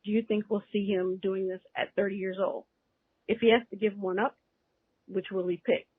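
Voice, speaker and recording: A man's voice: high-pitched, little pitch movement, normal speed, slightly rough, no echo. A man calling in with a question, left as a voicemail. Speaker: voicemail caller asking a question. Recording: muffled, narrowband phone voicemail.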